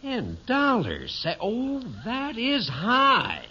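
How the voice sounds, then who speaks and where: A man's voice: very high-pitched, huge pitch swings, drawn-out words, crowd noise, full character voice. An actor playing a slick, over-friendly salesman with ingratiating patter in an old-time radio sitcom. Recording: muffled vintage radio recording.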